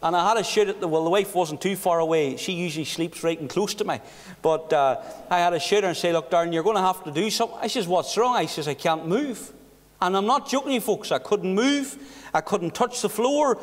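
A middle-aged man preaching a sermon behind a pulpit, earnest, with rhetorical questions and hushed passages. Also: sits high, fairly quick. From Northern Ireland. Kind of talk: sermon with personal story. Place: church hall behind a wooden pulpit. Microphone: pulpit mic from a far camera. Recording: echoey church hall.

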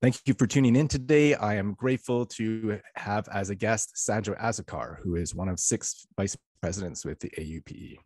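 A man's voice: lowish voice, normal speed, few pauses, a little mellow, no echo. A man in his forties hosting a podcast from his home kitchen, opening with friendly, upbeat introductions. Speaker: podcast host. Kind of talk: podcast interview.

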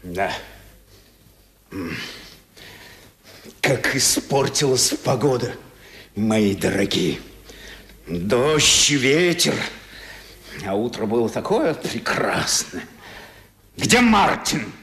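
Pompous, sanctimonious father, very slow, swooping delivery, 1970s radio drama. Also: medium pitch, big pitch swings, a bit bright, echoey room, exaggerated voice. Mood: smug, unctuous. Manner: theatrical, unctuous, stately. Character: sanctimonious, pompous middle-aged father and master of the house. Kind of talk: 1970s Soviet radio play acting.

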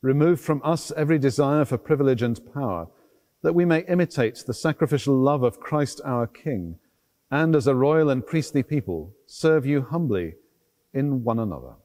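A man's voice: mid-range voice, lively intonation, normal speed, dark tone. A middle-aged man speaking as a priest, delivering formal liturgical address. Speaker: priest, celebrant. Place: large stone cathedral interior. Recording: long church reverb.

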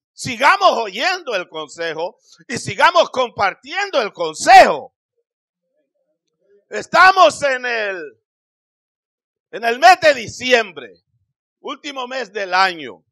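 A middle-aged man preaching a sermon in a small church hall, speaking into a handheld microphone with emphatic, drawn-out phrases that rise to shouts. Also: very high-pitched, big pitch swings. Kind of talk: Spanish-language Pentecostal sermon.

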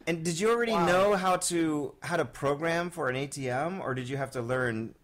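A man's voice: a little high, a little fast. Chatty male show host. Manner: chatty, laughs often, many fillers. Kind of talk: casual live video-call chat.

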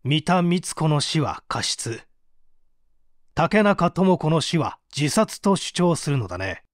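Man acting stern male prosecutor, formal probing questions, animated pitch, dry close recording, radio mystery drama. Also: takes it slowly, no room sound. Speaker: voice actor reading a story.

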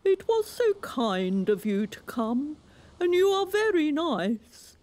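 A woman voicing a pompous, sly male walrus in a storybook poem, with grand, drawn-out oratory and mock sorrow. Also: high-pitched, very animated, darkish tone, pitched above the performer's own voice, exaggerated voice. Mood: smug, coaxing.

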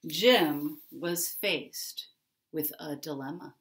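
A woman in her forties narrating a children's story expressively, with dramatic pauses and emphasis. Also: deep voice, fairly bright tone, slight background noise. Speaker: picture-book author reading aloud. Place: small furnished room at home, indoors.

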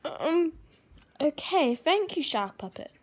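A woman hosting a homemade puppet cooking show at a kitchen table, talking in a hesitant, halting way with many ums. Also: sits high, big pitch swings, darkish tone. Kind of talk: improvised puppet comedy.

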